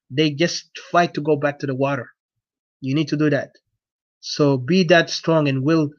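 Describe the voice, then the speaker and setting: A man's voice: on the high side, no echo, lo-fi sound. A man from South Sudan with a South Sudanese accent, a non-native English speaker giving an earnest, exhorting political address off the cuff, often repeating himself. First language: not English. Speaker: activist speaker addressing supporters. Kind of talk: impassioned political address, unscripted.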